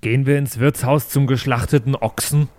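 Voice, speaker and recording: A man's voice: medium pitch, steady tone, moderate pace, darkish tone. A man playing a tabletop game, chatting casually and improvising with jokey, playful banter.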